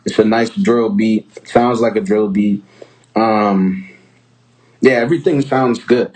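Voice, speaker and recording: A man from Trinidad and Tobago with a medium-pitched voice talks as a music producer and host. His delivery is casual and slangy. He gives feedback in an encouraging, informal way, like someone chatting with followers. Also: darkish tone, rough recording.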